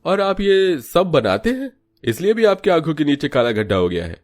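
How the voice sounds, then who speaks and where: A man's voice: a little high, very animated, moderate pace, pitched above the performer's own voice. A man voicing a blunt doctor in a cartoon story, giving warnings in an emphatic, lecturing manner. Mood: alarmed, advising. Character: male doctor, blunt, warning his patients. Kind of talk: Hindi cartoon story voice acting.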